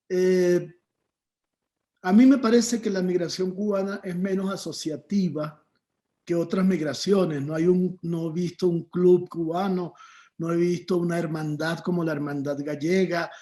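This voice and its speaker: A man's voice: sits high, fairly even intonation, moderate pace, mellow. A man moderating a discussion panel. He poses questions to the guests and steers the conversation.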